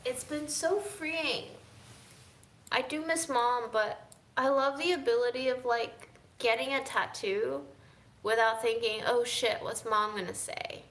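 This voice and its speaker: A tired woman vlogging casually to camera, musing in a drifting way that moves between soft and emphatic. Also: a little high, measured pace, reverberant. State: fatigued. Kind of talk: casual reflective chat to camera.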